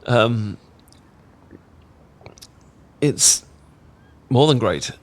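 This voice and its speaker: A British man playing a shy, tender roommate in a romantic ASMR audio roleplay, speaking in a gentle, halting, earnest way. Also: medium pitch, animated. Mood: nervous, earnest. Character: shy, tender male roommate confessing love.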